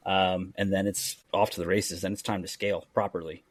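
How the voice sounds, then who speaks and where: A man's voice: deep voice, little pitch movement, fairly quick, no echo. A man guesting on a casual podcast about his hot sauce business, talking candidly and bluntly with plenty of fillers and restarts, and acting out the conversations he recounts. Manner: candid and blunt, many fillers and restarts, acts out quoted exchanges.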